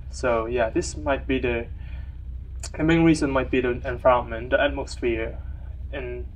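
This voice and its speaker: A young man from Hong Kong, an international student answering questions for a documentary interview in a hesitant way, pausing often on fillers. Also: mid-range voice, a little hiss or hum. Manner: hesitant, many fillers, chuckles between phrases. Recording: muffled, mic at some distance.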